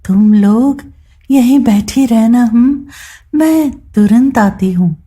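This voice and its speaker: A woman's voice: a little high, slow, warm tone, slightly rough. A woman voicing a poor, devoted village mother, earnest and careworn, in a moral story. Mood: anxious, reassuring. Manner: distressed, earnest, tender.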